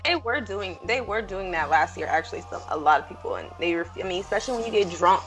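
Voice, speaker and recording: A woman's voice: lowish voice, lively intonation, fast, fairly bright tone, soft background music, rough recording. A young woman co-hosting a casual podcast, easygoing and explaining things at length. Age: young adult. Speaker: co-host.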